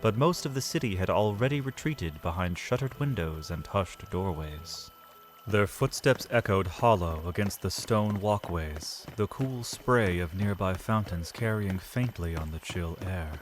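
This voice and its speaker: Low-pitched man narrating fantasy drama. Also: lively intonation, faint music behind. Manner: measured, solemn storytelling with deliberate pauses.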